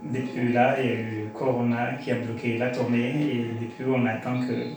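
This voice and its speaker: A man's voice: mid-range voice, level tone, moderate pace, a little mellow, soft background music. A man with a Comorian accent and Shikomori as his mother tongue, giving conversational, explanatory interview answers as a guest. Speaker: interview guest, slam poet. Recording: very echoey room with faint noise.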